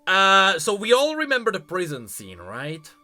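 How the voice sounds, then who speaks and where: A man's voice: high voice, wildly swooping pitch, normal speed, sharp tone, soft background music. A man giving chatty, informal, opinionated reaction commentary on video game lore.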